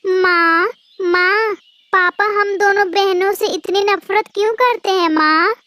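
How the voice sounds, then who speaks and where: A woman's voice: very high voice, steady tone, slow pace, pushed and bright, no echo, heavily acted. A woman voicing a sad, pleading young girl bird in a Hindi cartoon. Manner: pleading, repetitive, plaintive.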